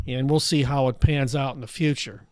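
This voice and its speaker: A middle-aged American man hosting a political news commentary show, his voice raspy from allergies, talking in a steady, measured way with frequent "uh" fillers. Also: mid-range voice, no room sound. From United States. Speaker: show host.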